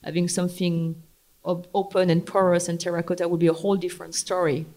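A woman with a French accent moderating a live panel discussion. She talks in a chatty, halting way, with frequent fillers and laughs. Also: fairly low pitch, flat delivery, mellow. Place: event hall.

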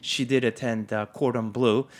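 A middle-aged man from Japan talks as an interview guest. His voice sits at a middle pitch, and he speaks in a relaxed, articulate way, laughing easily. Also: normal speed. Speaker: guest, food blogger. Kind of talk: conversational interview.

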